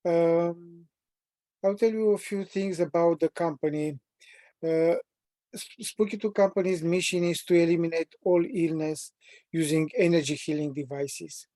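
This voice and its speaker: Measured Romanian man in his sixties. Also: fairly high pitch, steady tone, mellow, dry recording. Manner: measured, deliberate delivery with frequent "uh" pauses. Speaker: webinar presenter. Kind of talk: prepared self-introduction in a training webinar.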